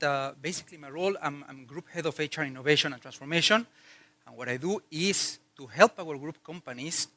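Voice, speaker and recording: A man's voice: a little high, fairly bright tone, no echo. A man from Mexico, a native Spanish speaker with a Mexican Spanish accent, giving a business talk at a conference in a chatty way, with fillers and restarts. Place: conference hall.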